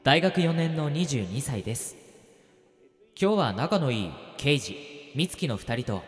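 Guy acting a friendly uni student in a radio play, bit of concern, music low behind. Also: big pitch swings, unhurried, slightly rough. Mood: reflective narration. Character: friendly, concerned 22-year-old male university student. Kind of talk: amateur radio drama acting and narration.

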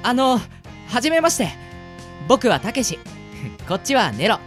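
A woman's voice: on the high side, big pitch swings, moderate pace, faint music behind. A woman playing a flustered boy adventurer. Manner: flustered, stammering, bright. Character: eager, naive boy adventurer, boastful. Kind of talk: radio drama acting.

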